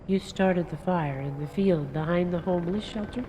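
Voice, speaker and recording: A woman's voice: fairly low pitch, normal speed, noisy background, natural voice. A woman with a Boston accent playing a persistent female police detective who keeps pressing with questions. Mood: firm. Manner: probing, insistent questioning. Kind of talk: amateur radio drama acting.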